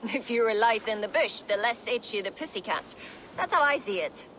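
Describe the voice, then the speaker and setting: A woman's voice: on the high side, animated, normal speed, a bit bright, a little hiss or hum. An actress playing a brash, cheerful Viking smuggler turned warrior woman in a video game, with a playful, earthy swagger. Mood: playful, cocky. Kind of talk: video game cutscene acting.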